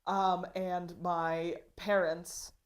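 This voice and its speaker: A woman from Russia chatting as a podcast guest, her voice sitting a little low. She rambles, fills her talk with "like" and slips into little acted-out quotes. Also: flat delivery, extremely slow. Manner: rambling, lots of "like", acts out quotes. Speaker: comedian guest. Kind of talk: casual podcast interview.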